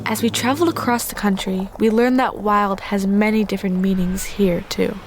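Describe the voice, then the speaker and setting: A woman's voice: mid-range voice, fairly even intonation, normal speed. A woman reading scripted narration for a documentary. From lives on west coast of Canada. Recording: faint ambient noise.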